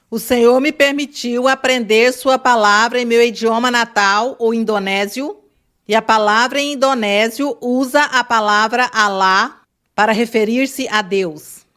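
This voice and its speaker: A woman interpreter reading a translated question aloud in a steady, measured way. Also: on the high side.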